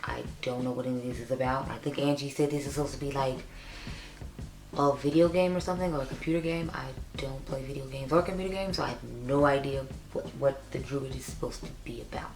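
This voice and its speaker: A young woman with a raspy voice, chatting casually and upbeat as she reviews makeup for her vlog. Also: a bass voice, fairly even intonation, fast talker, noisy background. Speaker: beauty YouTuber. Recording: echoey room.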